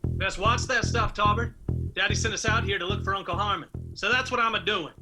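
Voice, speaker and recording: A man's voice: very deep voice, wildly swooping pitch, brisk, vocal fry, over music, exaggerated voice. An actor playing a dutiful, peacekeeping mountain man in a radio drama, folksy and steadying, with an Appalachian accent. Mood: firm, chiding. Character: dutiful, peacekeeping mountain brother, Jim McCoy.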